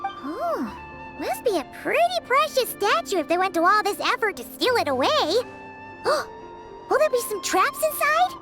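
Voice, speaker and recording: A woman voicing a tiny floating female companion who is excitable and chatty and speaks of herself in the third person, in a video game cutscene. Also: extremely high pitch, a little slow, heavily acted. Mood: excited, chatty. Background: game background music.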